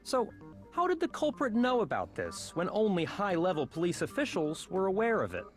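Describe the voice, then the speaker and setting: A woman voicing a cool, composed teenage detective in an anime video game, deliberate and matter-of-fact. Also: medium pitch, huge pitch swings. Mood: composed, certain. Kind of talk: dramatic video game character reading. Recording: game music behind voice.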